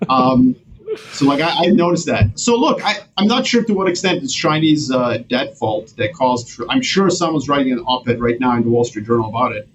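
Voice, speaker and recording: A man from Serbia, a guest on a podcast, talking in an animated, slangy way with many restarts. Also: medium pitch, moderate pace, a little hiss or hum, low-quality recording. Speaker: guest strategist. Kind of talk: casual podcast conversation.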